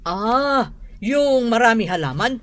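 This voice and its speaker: Pushy indian lady moneylender, terse broken tagalog, radio drama, up and down pitch. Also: expressive, slow, a bit bright. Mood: curt. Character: blunt female Indian moneylender hunting a debtor.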